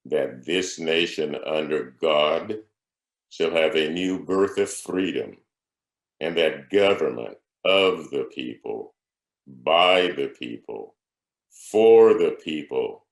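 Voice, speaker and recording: An extremely deep male voice with a gravelly edge recites a historic speech solemnly. He phrases deliberately, like an orator, leaving long pauses. The room around him echoes. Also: animated.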